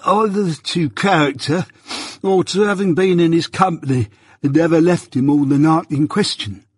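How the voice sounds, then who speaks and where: A man's voice: fairly high pitch, moderate pace, darkish tone, breathy edge, no echo, studio quality, voice pushed up. A man doing a stuffy nervous petty crook. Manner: hesitant, nervous, stuffy-nosed. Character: nervous slow-witted male petty crook with a cold.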